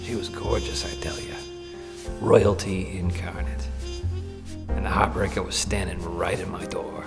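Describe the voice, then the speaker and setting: A man's voice: low-pitched, little pitch movement, unhurried, gravelly. A man playing a hard-boiled, weary private eye in a noir radio play, with terse, sardonic tough-guy talk. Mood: brooding. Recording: music bed under voice, amateur audio.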